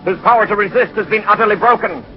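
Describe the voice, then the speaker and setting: An urgent military announcer, played by a man, proclaims in a high, clipped voice. It has the style of 1940s radio drama newsreading, with dulled old sound. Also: fast, noisy background, natural voice. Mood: triumphant, urgent. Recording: tinny, muffled 1940s radio recording.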